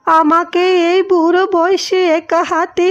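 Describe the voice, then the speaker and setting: Cartoon elderly mother-in-law played by a woman: sly, plaintive, sugary, extremely high voice with little pitch movement. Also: flat delivery, unhurried. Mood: plaintive, complaining. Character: scheming elderly mother-in-law, plaintive and sweetly two-faced. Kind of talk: cartoon dialogue acting. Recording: dull audio with music behind.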